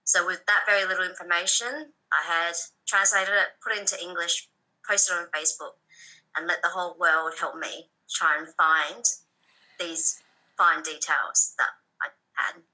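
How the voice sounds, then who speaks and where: Bright-voiced woman telling her story. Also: on the low side, steady tone, moderate pace, poor audio. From Thailand. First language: Thai. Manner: soft-spoken, hesitant with frequent ums. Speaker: guest. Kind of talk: personal story interview.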